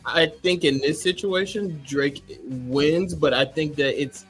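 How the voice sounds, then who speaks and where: A man's voice: on the high side, slow pace, a bit bright, breathy edge, faint music behind, poor audio. A man debating casually as a show panelist, circling back and rephrasing his point with lots of "like".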